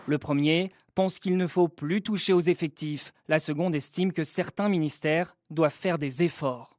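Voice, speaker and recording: A man's voice: on the high side, moderate pace, darkish tone, no echo, clean studio sound. A man reading scripted narration as a television news reporter.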